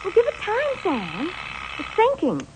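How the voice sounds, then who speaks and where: A woman's voice: very high voice, huge pitch swings, measured pace, background noise, exaggerated voice. An actress playing a sweet, earnest secretary, girlish and fluttery, in 1940s radio drama style. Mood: coaxing. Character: eager, sweet, earnest young female secretary. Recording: old radio drama recording.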